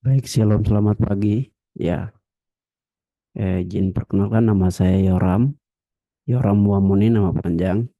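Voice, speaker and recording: A man's voice: on the low side, fairly even intonation, a little slow, long pauses, dry recording, narrow, tinny audio. A young man from Papua introducing himself to a graduate class over a video call, hesitant and full of fillers. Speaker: graduate student.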